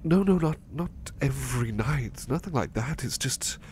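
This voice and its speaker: A man playing a gentle, anxious, sleepy butler in a soft-spoken ASMR roleplay, hesitant and apologetic as he stammers. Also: medium pitch, animated, normal speed, lightly acted. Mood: uneasy, reluctant. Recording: faint background noise.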